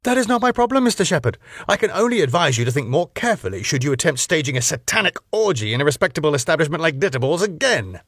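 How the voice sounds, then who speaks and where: A man's voice: fairly high pitch, big pitch swings, fast talker, fairly bright tone, husky, no room sound, studio quality, voice pushed up, heavily acted. A man voicing a pompous, hostile older ballroom owner who talks down to people and snaps with outrage. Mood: haughty.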